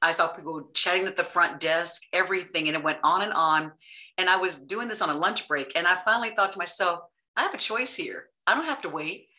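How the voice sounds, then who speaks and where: A woman's voice: lowish voice, brisk, bright, forceful tone, low-quality recording. A woman on a workshop video call telling a personal anecdote, eager to join in. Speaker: workshop participant.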